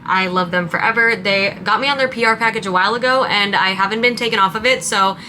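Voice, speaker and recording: Young woman in her twenties, vlog chatter, bright crisp tone, rambling and upbeat, faint room noise behind. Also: medium pitch, fast talker, poor audio. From Montana, raised in Southern California. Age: 20s. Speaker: content creator. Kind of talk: casual get-ready-with-me chat.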